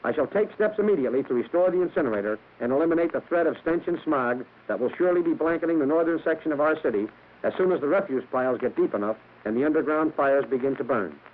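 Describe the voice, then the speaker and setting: A man's voice: on the high side, animated, quick pace. An American man reading a formal, stiff scripted political address as a candidate. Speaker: mayoral candidate. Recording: tinny, hissy vintage radio recording.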